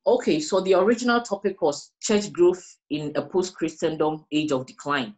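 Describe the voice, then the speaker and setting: Measured West African woman. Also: fairly low pitch, a little mellow. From Ghana, also grew up in the Gambia. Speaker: interviewee, academic researcher. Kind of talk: explanatory interview answers. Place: small indoor room, plain wall.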